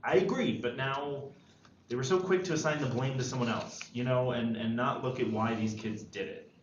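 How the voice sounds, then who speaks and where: Earnest, stressed male high school senior, read by a man, hesitant delivery; roomy, muffled table-read sound. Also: moderate pace, natural voice. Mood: candid, hesitant. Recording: echoey, dull, faintly noisy room recording.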